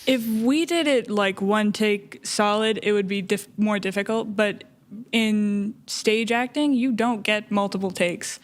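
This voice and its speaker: A woman guest on a podcast, a voice actor, chatting casually and quickly. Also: mid-range voice.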